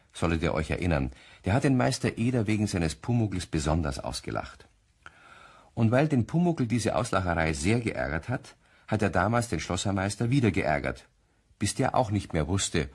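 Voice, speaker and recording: A man's voice: deep voice, husky, heavily acted. A man as a genial storyteller, chattily narrating a radio play for children. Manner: chatty, addresses listeners directly.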